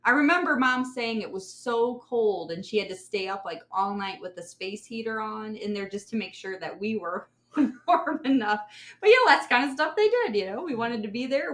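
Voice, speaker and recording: A middle-aged woman reminiscing casually with family, talking in an animated, agreeable way. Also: a little high, normal speed, echoey room. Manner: animated, agreeing readily, often chuckling as talking. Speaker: podcast guest, sister in a family conversation.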